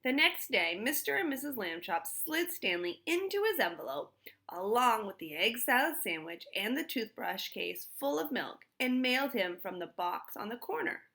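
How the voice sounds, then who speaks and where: A woman reading a children's storybook aloud in an expressive style. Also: on the high side, normal speed, vocal fry. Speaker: storybook reader.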